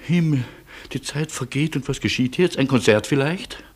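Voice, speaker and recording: A man's voice: mid-range voice, moderate pace, close to an everyday voice. An actor playing an anxious, wordy, sardonic businessman on the run in a German radio play, speaking with ironic rhetorical flourishes. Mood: sardonic, lamenting. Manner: exasperated irony, rhetorical flourishes.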